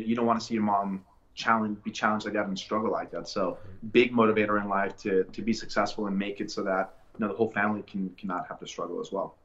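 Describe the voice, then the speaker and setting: A man from Colombia, a podcast guest, telling his life story in a relaxed, reflective way with many fillers and restarts. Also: lowish voice, lo-fi sound. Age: adult, a father. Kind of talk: casual interview storytelling.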